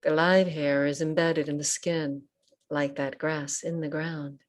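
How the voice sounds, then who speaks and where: A woman leading a guided meditation as a teacher, speaking gently in short, unhurried phrases with pauses. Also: deep voice, level tone. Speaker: Buddhist nun and meditation teacher. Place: online group session over video call.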